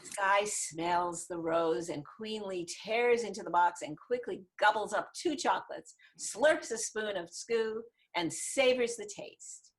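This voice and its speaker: A woman's voice: medium pitch, slow, few pauses, low-quality recording. A woman reading screenplay stage directions as scripted narration over a video call.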